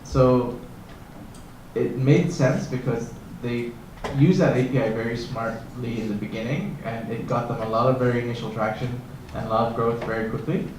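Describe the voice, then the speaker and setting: A man's voice: medium pitch, steady tone, moderate pace, a little mellow. A man on a conference panel, explaining in a loose, conversational way. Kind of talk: panel discussion. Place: conference hall. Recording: echoey hall from far off, faint noise.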